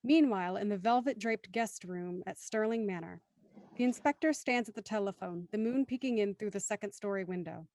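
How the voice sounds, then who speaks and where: A woman's voice: mid-range voice, fairly quick, darkish tone, no room sound. A woman reading scripted, present-tense narration for an audio drama as its narrator.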